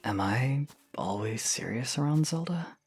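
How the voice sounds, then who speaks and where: A man playing a guarded young swordsman in an audio drama, serious and awkward, muttering and hesitant, with a gravelly, husky voice. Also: medium pitch, animated, measured pace, faint music behind. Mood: pensive. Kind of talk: audio drama character dialogue.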